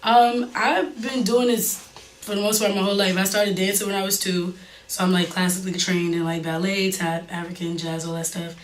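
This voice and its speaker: A woman's voice: mid-range voice, fairly quick. A young woman from Harlem with a New York accent talks loosely and casually as a guest, drifting through her answers. The room rings with echo, and a faint hiss sits behind her voice. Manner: relaxed, rambling, casual slang. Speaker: guest, singer and dancer. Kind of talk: casual interview chat.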